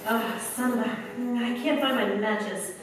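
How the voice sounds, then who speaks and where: A woman's voice: a little high, measured pace, over music, natural voice. A woman acting a tired, tough factory girl in a 1940s-style radio play, wry and slangy, with an American accent. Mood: wry defiance. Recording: very echoey, distant mic, faint noise.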